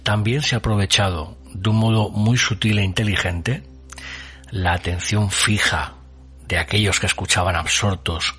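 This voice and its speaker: A man hosting a radio show with scripted, atmospheric narration, solemn and deliberately phrased with dramatic pauses. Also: low voice, pushed and bright.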